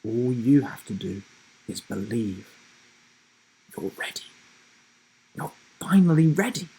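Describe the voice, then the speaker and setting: A man voicing a cheeky, bold old grandmother in a children's storybook, brisk and playfully rude. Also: medium pitch, big pitch swings, dark tone, slightly rough, exaggerated voice, low-quality recording. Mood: tender, urging.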